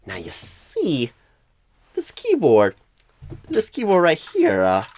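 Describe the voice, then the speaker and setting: A man voicing a clueless, flustered job recruiter in an ASMR roleplay, rambling with odd pauses mid-sentence. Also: fairly high pitch, huge pitch swings, a little mellow, heavily acted. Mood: bumbling, apologetic. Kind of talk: ASMR roleplay, comic improvised monologue.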